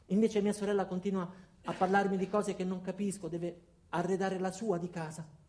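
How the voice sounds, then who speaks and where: A man's voice: high-pitched, flat delivery, moderate pace, a little mellow, close to an everyday voice. An actor playing an anxious, bewildered ordinary man who stammers through a theatrical radio monologue in speech. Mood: uneasy, wry. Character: anxious, bewildered ordinary man recounting his own trial. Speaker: narrator and lead actor. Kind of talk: theatrical radio monologue acting out several characters.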